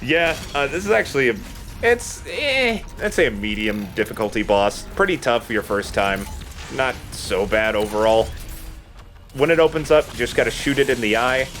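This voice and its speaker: A man's voice: medium pitch, lively intonation, normal speed, fairly bright tone, soft background music. A man giving casual, explanatory commentary on a video game in a conversational way. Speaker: let's play gamer and commentator.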